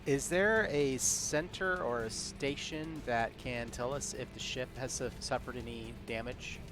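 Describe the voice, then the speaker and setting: A man's voice: mid-range voice, unhurried. A man playing a tabletop roleplaying game, talking casually as his character. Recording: music clearly under the voice. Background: clear background music.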